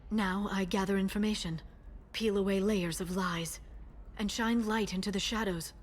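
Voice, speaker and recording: A woman playing a guarded, driven young alien information broker bent on revenge, in an earnest, measured and intense manner for a video game. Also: medium pitch, steady tone, lightly acted. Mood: cool, determined. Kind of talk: cinematic video game voice acting.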